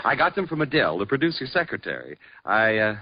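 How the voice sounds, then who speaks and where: Actor as scheming pal in old radio comedy, very swoopy, loud hiss. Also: male voice, medium pitch, big pitch swings, fast, dull audio, lightly acted. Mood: coaxing. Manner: coaxing, sly. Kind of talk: 1940s radio comedy acting. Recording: heavily hissing old radio recording.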